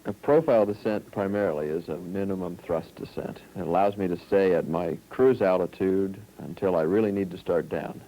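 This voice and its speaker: A man with an American accent, a pilot giving a deliberate technical explanation on a 1970s film soundtrack. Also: deep voice, warm tone, a little hiss or hum, rough recording. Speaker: airline pilot. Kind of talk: prepared explanation.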